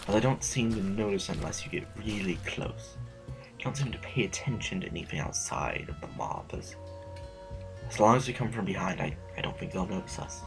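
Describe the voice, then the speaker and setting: A man's voice: sits low, normal speed, vocal fry, over music. A man playing a cautious, observant adviser to a general in an audio drama, speaking in a hesitant, analytical way.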